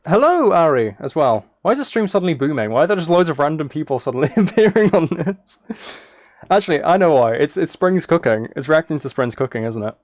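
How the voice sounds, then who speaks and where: Higher-voiced man chatting on a livestream. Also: sing-song, brisk, no echo, studio quality. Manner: easily sidetracked, self-deprecating. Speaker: live streamer. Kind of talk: casual livestream chat and reaction. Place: indoors at a streaming setup.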